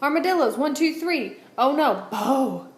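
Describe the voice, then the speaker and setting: A woman's voice: high voice, lively intonation, measured pace, voice pushed up. A woman voicing a watchful, fretting mother armadillo from a storybook, calling out and scolding her boys. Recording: roomy echo, clear background noise.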